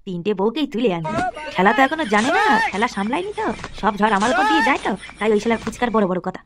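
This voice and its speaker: A woman's voice: fairly high pitch, very animated, racing, noisy background, voice pushed down. A woman doing a foul-mouthed male gang boss. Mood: angry. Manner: scolding, foul-mouthed, laughing scornfully. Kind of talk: cartoon voice acting.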